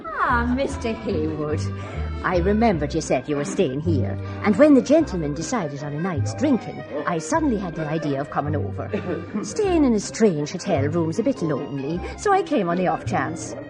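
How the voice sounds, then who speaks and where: An actress playing a chatty, friendly middle-aged widow with an Irish accent in a radio drama. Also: female voice, lowish voice, sing-song, moderate pace, over music. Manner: chatty, apologetic.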